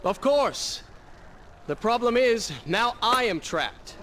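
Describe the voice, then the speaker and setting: An actor playing a proud, earnest young warrior prince with a dry wit in a dramatic video game. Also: male voice, extremely high pitch, lively intonation, takes it slowly, sharp tone, slight background noise, exaggerated voice. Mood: earnest, concerned.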